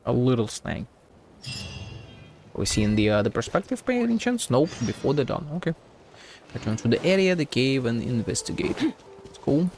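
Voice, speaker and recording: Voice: medium pitch, sing-song, moderate pace, long pauses, a little mellow, a little hiss or hum. A game streamer giving informal, slangy playthrough commentary, thinking aloud. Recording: game music faintly behind.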